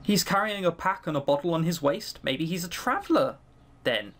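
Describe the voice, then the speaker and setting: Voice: mid-range voice, expressive, normal speed, fairly bright tone. A let's player reading a visual novel aloud, with theatrical delivery and teasing, exclaiming asides. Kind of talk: game narration with casual commentary.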